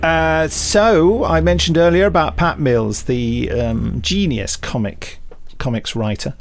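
A man's voice: a little high, fairly even intonation, slow, creaky, slight background noise. A man co-hosting a podcast with casual news chat and rambling, hesitant asides. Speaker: podcast co-host, script editor and director.

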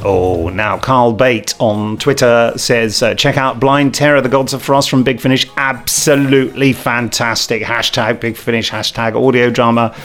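A man's voice: medium pitch, fairly even intonation, normal speed, hardly any pauses, fairly bright tone. A man hosting a podcast, chatting casually and reading reviews aloud with a jokey manner. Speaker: podcast host.

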